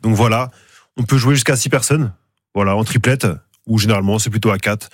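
A man's voice: on the low side, brisk, no room sound, clean studio sound. A young man from Paris, a bar owner, chatting casually as a radio guest with many fillers. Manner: relaxed, many fillers, laughs easily.

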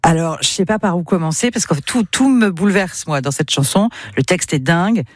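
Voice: mid-range voice, fairly quick, no echo, studio quality. A radio host conducting a casual music interview in an effusive, enthusiastic manner.

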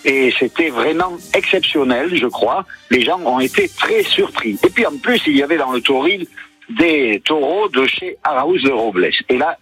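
Guy phoning in a live report from the bullring, kinda husky, lots of uhs, faint music behind. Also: on the high side, a bit bright. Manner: hesitant, many fillers, enthusiastic. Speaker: field reporter. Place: bullring arena.

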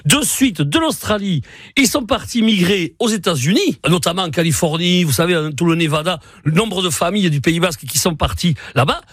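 Lively husky-voiced radio columnist man. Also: high voice, brisk, no echo, clean studio sound. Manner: storytelling, playful, emphatic. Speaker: radio columnist on local heritage. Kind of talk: radio talk segment.

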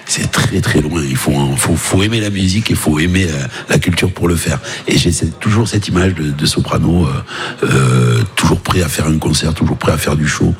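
Very deep-voiced man. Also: faint music behind. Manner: halting, repeats words. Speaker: DJ and music teacher, interview guest. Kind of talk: live casual radio interview. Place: outdoors at a live festival.

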